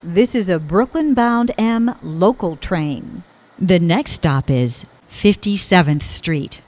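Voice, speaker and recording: A woman delivers scripted transit announcements at a slow, measured pace. Her voice sits slightly low, and the phrases are formal and clipped, as if pieced together. The recording is a little dull and lo-fi. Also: lively intonation. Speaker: subway train announcer.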